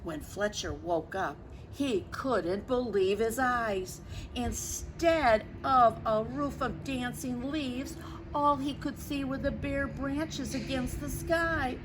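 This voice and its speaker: Very slow, expressive woman storyteller. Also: fairly high pitch. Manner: expressive, dramatic stretched words, gasps. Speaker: storyteller for children. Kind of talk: picture-book read-aloud, narration with asides to children. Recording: clearly noisy background.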